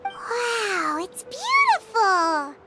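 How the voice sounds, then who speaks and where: An actress voicing a tiny, innocent, earnest childlike companion in a video game, with a squeaky voice. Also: female voice, wildly swooping pitch, extremely slow, background noise, exaggerated voice. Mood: awed. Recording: loud music behind the voice.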